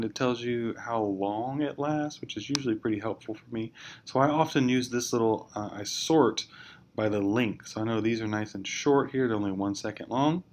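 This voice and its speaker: A man giving an informal, step-by-step software tutorial in a hesitant, explanatory way. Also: mid-range voice. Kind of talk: informal screencast walkthrough. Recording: clear background noise, amateur screencast.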